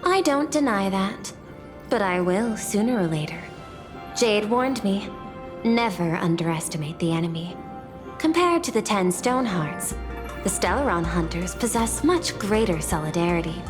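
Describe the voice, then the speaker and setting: A woman's voice: mid-range voice, lively intonation, lightly acted. An actress playing a shrewd, controlling businesswoman in a video game, speaking formally with guarded authority. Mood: suspicious. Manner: formal, measured, commanding. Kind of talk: video game story dialogue. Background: music.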